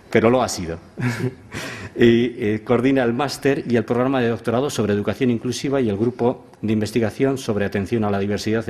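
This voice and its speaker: Mid-pitched man introducing a speaker. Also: a little mellow. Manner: formal, with frequent filler hesitations. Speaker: event moderator.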